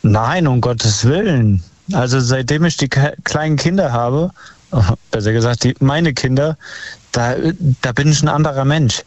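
A man's voice: medium pitch, a little slow, a little mellow, no room sound. A man calling a talk show by phone, chatting in a blunt, slangy, opinionated way. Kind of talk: casual, slangy phone chat. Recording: muffled, dry phone call audio.

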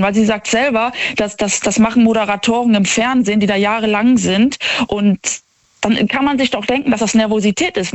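High-voiced, halting young male caller. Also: no echo. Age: young adult. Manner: halting, repeats words and syllables when nervous. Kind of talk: casual opinionated phone-in talk.

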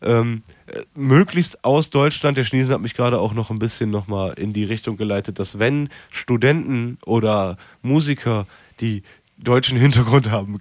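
A male radio host with a mid-pitched voice, recorded dry and close. He chats casually and rambles along in slangy, joking banter. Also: darkish tone, no echo.

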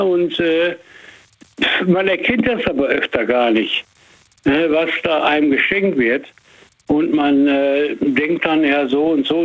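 Older man rambling on a tinny phone line. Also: a little high. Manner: rambling, hesitant, many fillers. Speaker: caller. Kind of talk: casual phone chat.

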